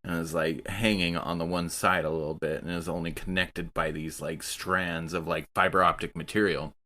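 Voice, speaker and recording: A man's voice: deep voice, flat delivery, normal speed, pushed and bright. A man playing a tabletop roleplaying game, casually and playfully describing what his character looks like and does.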